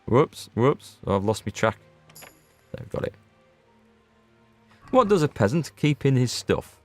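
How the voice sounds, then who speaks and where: A man's voice: mid-range voice, big pitch swings, a little slow, a little mellow, soft background music. A man giving casual commentary on a game he is streaming, chatting with viewers as he plays. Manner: chatty, reads out and answers viewer chat. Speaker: gaming streamer. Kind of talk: casual let's play commentary.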